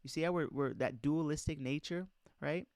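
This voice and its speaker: A man's voice: a little high, normal speed, a little mellow, no room sound, studio quality. A man reading aloud in an informal lecture style, speaking emphatically and putting questions straight to his listeners. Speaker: presenter reading a document aloud and commenting.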